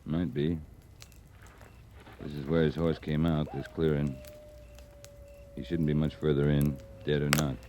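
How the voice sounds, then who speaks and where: Low-voiced, terse cavalry captain. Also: male voice, little pitch movement, unhurried, pauses often, darkish tone. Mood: wary, terse. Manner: terse, dry, matter-of-fact. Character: calm, commanding cavalry captain on the frontier. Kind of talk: old-time radio western acting. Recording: hissy old radio drama recording.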